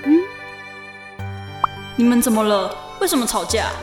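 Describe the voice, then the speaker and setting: A woman's voice: fairly high pitch, expressive, measured pace. A young woman playing a teasing, caring teenage schoolgirl in an audio drama, speaking Taiwanese-accented Mandarin. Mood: concerned, soothing. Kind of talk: amateur audio drama acting. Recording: roomy echo under music. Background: music.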